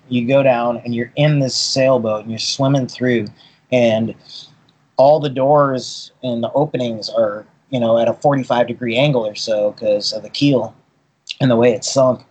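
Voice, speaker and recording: A man's voice: mid-range voice, steady tone, normal speed, a little mellow, husky, low-quality recording. A man who grew up in Boston, a dive boat captain, telling stories in an easygoing way as a guest on an interview. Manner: easygoing storyteller, repeats words as he thinks.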